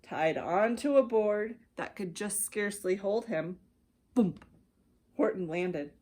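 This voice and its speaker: An American woman reading a rhyming children's storybook aloud as an expressive narrator. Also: mid-range voice, slow. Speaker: children's ministry director reading stories.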